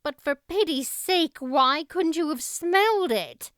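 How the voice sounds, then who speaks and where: A woman voicing a stern, blunt, exasperated older guardian in a storybook, brisk, emphatic and scolding. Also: sits high, animated, a bit bright, no echo, studio quality, voice pushed up, full character voice. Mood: exasperated, rueful.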